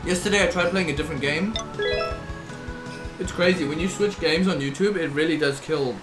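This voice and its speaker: A man's voice: a little high, brisk. A young man streaming a game, chatting casually and rambling with his viewers. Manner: rambling, laid-back, uses bro and dude. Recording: loud game music behind the voice. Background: loud video game music.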